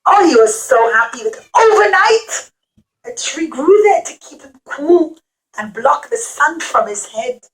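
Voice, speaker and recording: A woman with a high voice tells a story to young children. She keeps it simple and repetitive, stopping to explain and leaning on key words with dramatic emphasis, as a puppet-show storyteller does. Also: big pitch swings, unhurried, low-quality recording.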